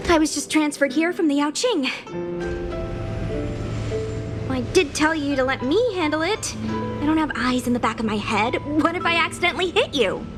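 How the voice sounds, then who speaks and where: A woman's voice: high voice, animated, a little fast. A woman playing an eager, earnest young knight recruit in a video game, chatty and full of official bluster. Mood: brisk, earnest. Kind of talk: video game cutscene acting. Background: background music.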